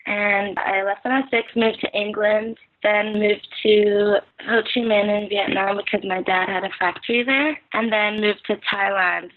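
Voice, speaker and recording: A young woman from the Philippines speaks earnestly as a podcast guest, at a middling pitch for a woman. She reflects as she goes, saying "like" and "so, yeah" often. Her voice comes through a thin, phone-like line. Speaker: podcast guest, clothing brand founder. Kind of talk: casual chat. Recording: tinny phone-like call line.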